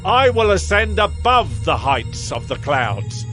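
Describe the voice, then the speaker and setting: An actor playing a proud tyrant who exalts himself, declaiming grandly in a dramatized scripture reading. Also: male voice, high voice, very animated, pushed and bright, soft background music, full character voice. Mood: boastful. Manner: grandiose, slow, drawn-out declaiming.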